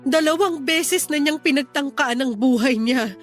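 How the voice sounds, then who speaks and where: A woman's voice: high-pitched, normal speed, close to an everyday voice. A Filipino woman playing a desperate wife and mother pleading earnestly with her sister-in-law in a radio drama. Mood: distressed, insistent. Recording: music under the dialogue.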